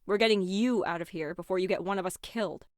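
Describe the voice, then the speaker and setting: A woman's voice: mid-range voice, fast, no echo, studio quality, natural voice. A woman playing a stern, determined, no-nonsense young woman in a game, firm and curt in her delivery.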